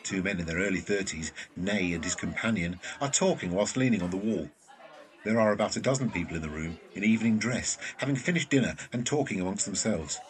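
A man narrates scene directions for an audio drama. His voice is fairly low, with animated, varied intonation. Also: a little fast, some chatter behind, poor audio. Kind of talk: scripted narration of screenplay directions.

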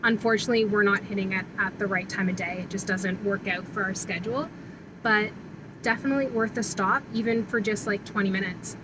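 A woman's voice: medium pitch, steady tone, fairly quick. Chatty woman vlogging over car noise. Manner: chatty, informative. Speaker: travel vlogger. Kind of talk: casual travel vlog chat. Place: inside a car.

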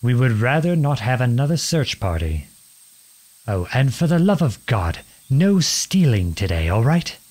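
A man acting a charming, teasing young outlawed prince in an ASMR-style romance roleplay, with a husky voice and a courtly, playful manner. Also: mid-range voice, sing-song, a little slow, a little mellow. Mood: brisk, commanding. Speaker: audio roleplay performer.

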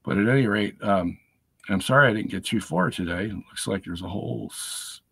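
A middle-aged man hosting a live stream from his home study, chatting casually and checking in with "okay?", hoarse from a lingering cold. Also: fairly low pitch, fairly quick, a bit bright. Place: home study lined with bookshelves.

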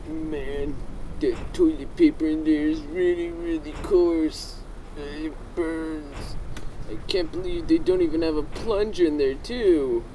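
A man voicing a naive, excitable male alien warrior in a game machinima, talking in a childlike, goofy way. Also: on the high side, slow pace, slight background noise, poor audio. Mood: dopey. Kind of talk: amateur game machinima acting.

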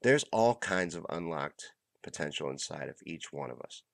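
A man's voice: a bass voice, expressive, gravelly, dry recording. A middle-aged man with a stuffy, congested voice, hosting a motivational monologue in a small home room on a close studio mic. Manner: earnest, pep-talk delivery with trailing pauses. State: congested, under the weather. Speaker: host. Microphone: studio mic close to the mouth.

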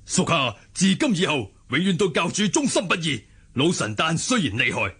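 An actor with a Hong Kong accent playing an obedient, fervently loyal cult elder in a radio drama, declaiming with deference. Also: male voice, on the high side, very animated, normal speed, bright, forceful tone, breathy edge, full character voice. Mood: solemn, deferential. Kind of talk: Cantonese radio drama acting.

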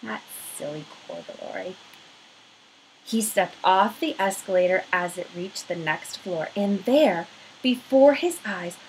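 A woman's voice: a little high, a little hiss or hum, poor audio. A woman in her thirties reading a children's storybook aloud expressively, stretching key words, at home. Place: small room at home.

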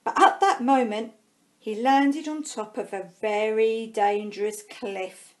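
A woman reading a children's storybook aloud expressively, with dramatic pauses and drawn-out words. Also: a little high, steady tone. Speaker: primary school staff member reading a storybook.

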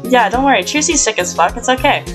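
A woman's voice: fairly high pitch, lively intonation, quick pace, music in the background. A young woman hosting an amateur game fandub in casual, joking, sweary chat. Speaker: host and voice actor in an amateur fandub. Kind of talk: casual group chat and improvised dubbing.

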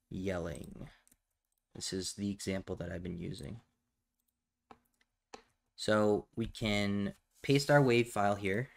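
A man narrating a step-by-step software tutorial in a matter-of-fact, instructional way. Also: lowish voice, vocal fry. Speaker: tutorial presenter.

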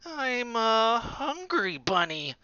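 A man voicing a stubborn, suspicious young girl bunny in a storybook, shouting her lines emphatically. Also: very high voice, wildly swooping pitch, measured pace, no echo, pitched above the performer's own voice, heavily acted. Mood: menacing. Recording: slightly muffled recording.

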